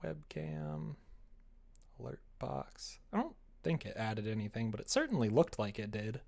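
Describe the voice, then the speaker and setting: Guy streaming game dev stuff, gravelly creaky voice, rambles and mumbles to himself, kinda self-deprecating. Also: mid-range voice, very animated, a little slow, a little mellow. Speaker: live streamer, game developer. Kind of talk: casual livestream chat while working on screen.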